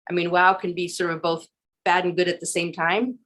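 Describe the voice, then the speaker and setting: A woman's voice: on the low side, little pitch movement, a little fast, a bit bright. A woman chatting casually as a class participant on a video call, hesitant and loosely phrasing her thoughts. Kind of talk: casual chat.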